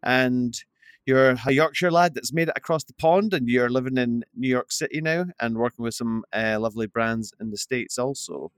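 A man's voice: mid-range voice, normal speed, clear, resonant tone, no room sound, studio quality. A man hosting a podcast interview, friendly and asking long questions padded with fillers. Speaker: podcast host.

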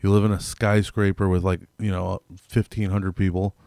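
A man's voice: low voice, little pitch movement, normal speed, gravelly, mellow, dry recording, clean studio sound. A man chatting casually as a podcast co-host, with slangy, profane, rambling talk that piles up hypothetical examples. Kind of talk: casual chat, profane banter.

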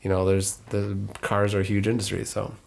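A thirty-year-old man from northern Minnesota talks through his story on a relaxed podcast. His voice sits fairly low, and he rambles, backing up to fix details and filling pauses with ums. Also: creaky. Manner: rambling, self-correcting with many ums. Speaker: podcast co-host. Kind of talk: casual conversational podcast.

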